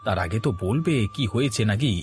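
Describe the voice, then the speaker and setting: A man's voice: mid-range voice, expressive, fairly quick, soft background music, close to an everyday voice. A man voicing a kind, honest shopkeeper and father in a moral-story cartoon, speaking in a welcoming, earnest way. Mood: welcoming, reassuring.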